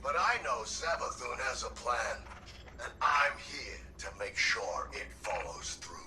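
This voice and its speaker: A man's voice: medium pitch, big pitch swings, measured pace, bright, forceful tone, slight background noise, exaggerated voice. A man voicing a bitter, haughty captive alien machine in game dialogue, disdainful and indignant, set back from the mic with music behind. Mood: defiant, proud. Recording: distant voice under music.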